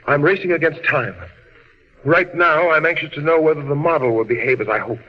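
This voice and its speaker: A high-pitched, impassioned scientist from another world speaks like a prophet of doom, grave and oratorical a man acting in an old radio play. The sound is muffled, with hiss and a long reverberant tail. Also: fairly quick, natural voice. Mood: subdued, hurried. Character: impassioned alien scientist warning of doom, husband and father. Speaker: radio drama actor. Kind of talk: 1940s radio drama acting.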